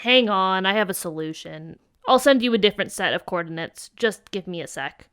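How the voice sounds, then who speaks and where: A woman's voice: mid-range voice, animated, brisk, close to an everyday voice. A woman acts out a smug female alien troll from an audio drama, a prankster who talks down to everyone with mocking, teasing relish. Faint noise and clicks sit behind the voice. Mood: defensive, smug. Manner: mocking, condescending.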